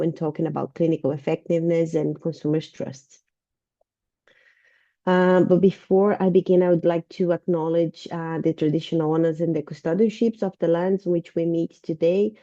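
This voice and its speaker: Dull, dark-voiced Brazilian woman with a cold-affected voice. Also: low-pitched, dry recording. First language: Portuguese. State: sick, voice sounding a bit off. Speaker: university researcher and lecturer presenting. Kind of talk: informal online presentation. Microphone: video call. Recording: dull, muffled video-call audio.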